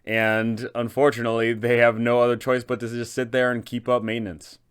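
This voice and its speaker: Male host for roleplaying explainer: smooth, clean voice, mid pitch, informal and emphatic game master narration. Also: completely flat delivery, brisk.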